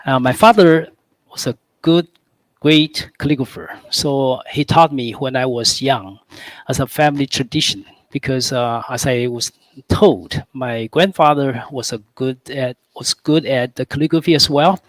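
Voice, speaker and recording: A man's voice: mid-range voice, a little slow, dry recording, rough recording. A man from Taiwan, a guest calligrapher, explaining his work in a conversational way with many fillers and restarts.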